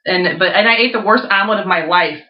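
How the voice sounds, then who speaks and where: A woman's voice: medium pitch, quick pace. A woman co-hosting a podcast in casual comedic chat, rambling and joking with playful mock grandeur. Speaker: podcast co-host. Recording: dull lo-fi podcast audio.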